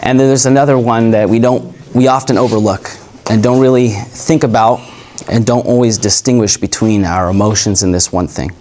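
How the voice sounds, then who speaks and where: A man's voice: on the low side, normal speed, hardly any pauses. A man coaching a live workshop group, talking in a loose, rambling way that often circles back and corrects itself. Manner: relaxed, rambling, self-correcting. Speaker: communication coach.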